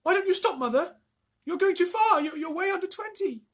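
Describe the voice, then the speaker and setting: A reader shrieking as a frantic shrill mother. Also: animated, a little fast. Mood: panicked. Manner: wailing, high and panicked.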